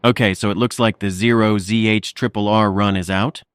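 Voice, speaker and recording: A man playing a composed weather livestreamer, giving measured, informal technical forecast commentary. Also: on the low side, fairly even intonation, smooth, no echo, studio quality, lightly acted. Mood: focused, analytical.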